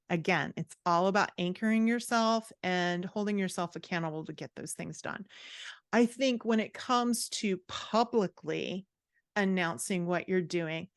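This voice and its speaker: A middle-aged woman with a slightly low voice, recorded close and dry. She talks candidly and emphatically, explaining and advising like a coach in a relaxed podcast interview. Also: smooth, no room sound. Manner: candid, emphatic, self-described sassy. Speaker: podcast guest, author and writing coach.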